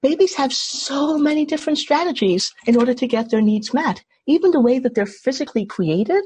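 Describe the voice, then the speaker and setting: Husky-voiced woman leading a workshop. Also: on the high side, darkish tone. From Trinidad. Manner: engaging, asks the group questions. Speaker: workshop facilitator. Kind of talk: online workshop teaching. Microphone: video call.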